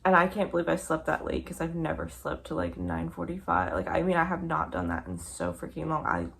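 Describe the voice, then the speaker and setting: Tired croaky-voiced young woman vlogging. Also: low voice, very animated, fairly quick, fairly bright tone, slight background noise. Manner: chatty, rambling with many "like" fillers. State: tired, croaky just-woken voice. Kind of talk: casual pregnancy update vlog.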